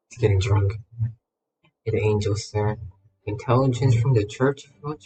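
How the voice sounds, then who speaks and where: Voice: medium pitch, measured pace, dark tone, poor audio. A streamer giving casual game commentary, playful and exclamatory, rambling and repeating words.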